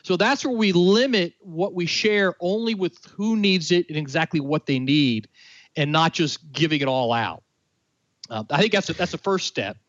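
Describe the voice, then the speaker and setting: A man with a somewhat high voice explains ideas in an earnest way, stumbling through fillers and restarts. His sound is dry and close, with a dull, lo-fi tone. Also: lively intonation, moderate pace, no room sound. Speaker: guest expert. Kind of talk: explanatory conversation.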